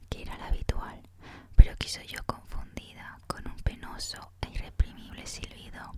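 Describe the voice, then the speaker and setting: A woman reads a book aloud in ASMR style, in a breathy, unvoiced whisper with a soft, unhurried manner. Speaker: reader, host of a reading series.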